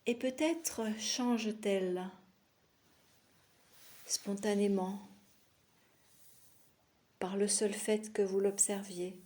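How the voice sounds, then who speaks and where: A woman teaching yoga nidra, guiding a meditation in a soothing, unhurried manner with long pauses between short phrases. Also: medium pitch, mellow. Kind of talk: guided relaxation meditation.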